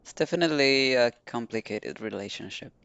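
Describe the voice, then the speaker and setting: A man's voice: medium pitch, lively intonation, brisk, fairly bright tone, clean tone, dry recording, muffled recording. A man streaming a game, giving casual playthrough commentary and reading dialogue aloud.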